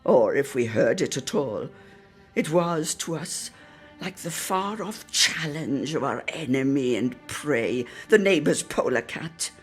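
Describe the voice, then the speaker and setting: A woman's voice: a bass voice, lively intonation, slow pace, soft background music. A woman giving a theatrical, lyrical reading of a literary story, savouring each phrase. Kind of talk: theatrical scripted story narration.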